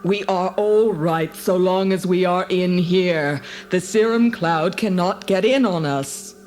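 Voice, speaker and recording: A woman's voice: lowish voice, a little mellow, slight background noise. A woman playing a cruel, arrogant alien commander in a dramatised storybook, imperious and scornful. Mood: angry. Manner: imperious, gloating, deliberate. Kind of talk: dramatised storybook audio acting. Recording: music behind the voice.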